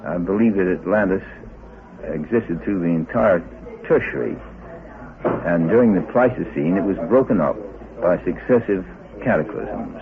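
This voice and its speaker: Male expert interviewee, very low voice, emphatic and deliberate, lo-fi sound, crowd behind. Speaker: interviewed researcher with a doctorate. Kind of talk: unscripted interview answers. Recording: muffled lo-fi tape, busy crowd behind.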